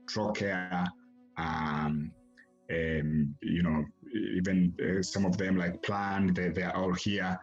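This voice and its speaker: A man from Tanzania, answering podcast interview questions as an academic guest in a halting way, often repeating words as he starts a phrase. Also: sits low, little pitch movement, dull audio, faint music behind.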